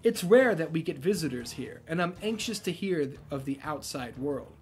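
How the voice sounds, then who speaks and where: A man voicing a calm, gracious temple priest, speaking softly but firmly in a measured, courteous way. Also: fairly high pitch, faint music behind. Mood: welcoming.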